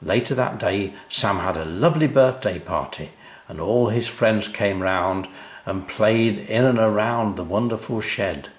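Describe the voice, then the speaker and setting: An older man narrating a children's story, unhurried and expressive, speaking directly to young listeners. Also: on the low side, reverberant.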